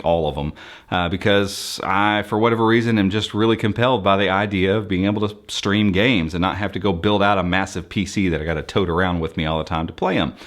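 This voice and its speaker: An American man with a fairly low voice talks to viewers as a tech presenter. He explains things off the cuff in a conversational style. His delivery is enthusiastic. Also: fairly bright tone. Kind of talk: unscripted explainer commentary to viewers.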